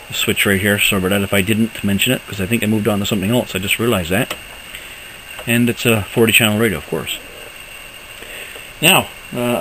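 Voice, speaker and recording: A man giving a casual, unscripted walkthrough of equipment as a hobbyist presenter, halting and thinking aloud as he goes. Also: lowish voice, background noise.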